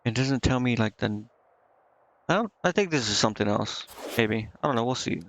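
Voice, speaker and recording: A man's voice: medium pitch, expressive, normal speed, a little hiss or hum. A man doing casual live game commentary as a streamer, hesitantly thinking aloud to himself and reading on-screen text out. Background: game music.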